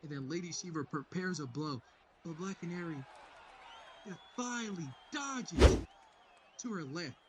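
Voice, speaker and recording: A man voicing an excited fight announcer, dramatic play-by-play with emphatic bursts of volume. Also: a little high, a little slow, pauses often, heavy background noise, voice pushed up.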